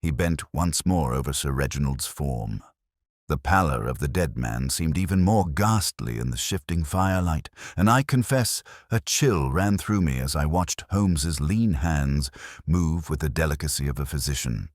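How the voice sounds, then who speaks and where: A male narrator with an extremely low voice reads a period detective tale. His delivery is formal and measured yet expressive in pitch, and the recording is dry and close. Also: no echo. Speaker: audiobook narrator.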